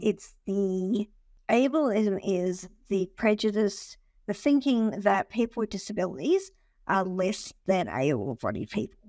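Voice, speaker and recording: A woman in her forties chats as an interview guest, her voice at an ordinary middle pitch. She tells stories with lively energy, laughing and restarting her sentences. The sound is dry and close. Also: a little slow, no echo. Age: 40s. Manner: animated storyteller who laughs often and restarts sentences. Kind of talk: casual conversational interview.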